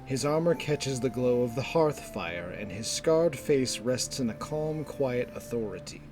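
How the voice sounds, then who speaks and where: A man narrating a scripted fantasy story in a measured, descriptive storytelling manner. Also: mid-range voice, animated. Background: music.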